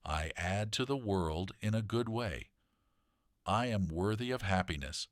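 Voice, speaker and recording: Storybook boy hero, read by a man: deep, dry sound, earnest, kind, clear and encouraging. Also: animated, a little slow, no room sound. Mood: self-assured.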